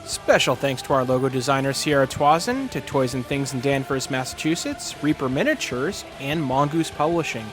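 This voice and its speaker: A man's voice: medium pitch, animated, moderate pace, hardly any pauses, over music. A man acting as game master, narrating a tabletop game casually. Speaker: game master and podcast host.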